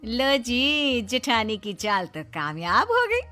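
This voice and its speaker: A woman's voice: on the high side, wildly swooping pitch, takes it slowly, pushed and bright, soft background music. A woman narrating a cartoon story in a wry, knowing way.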